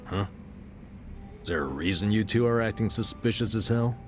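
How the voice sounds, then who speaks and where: An actor playing a suspicious yet gullible drinking buddy in a video game, talking in a casual, droll way. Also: male voice, on the low side, moderate pace, soft background music, natural voice. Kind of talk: dramatic game cutscene acting.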